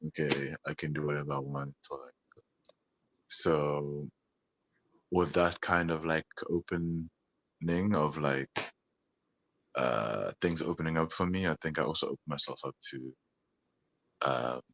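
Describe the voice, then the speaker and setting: Very deep-voiced man, meandering. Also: measured pace, pauses often, fairly bright tone, dry recording. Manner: meandering, hesitant, full of "like" and "you know". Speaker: musician guest. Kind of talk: casual interview talk.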